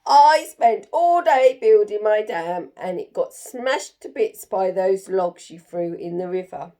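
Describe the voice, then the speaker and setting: An angry beaver from a children's tale, put on by a woman storyteller. It complains forcefully and snarls, full of grievance. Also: mid-range voice, animated, takes it slowly.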